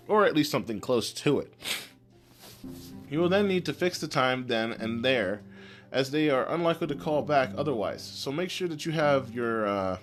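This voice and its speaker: Man, medium-pitched voice, podcast host, conversational and instructive, semi-scripted advice, guitar music underneath.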